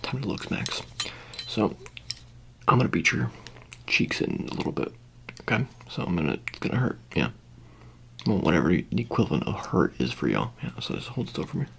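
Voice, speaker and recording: A soft-spoken ASMR performer in a roleplay, murmuring casually and often slipping into a whisper as if tending to someone. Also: low-pitched, big pitch swings, fairly quick, gravelly, long pauses, noisy background. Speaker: ASMR performer playing an attendant.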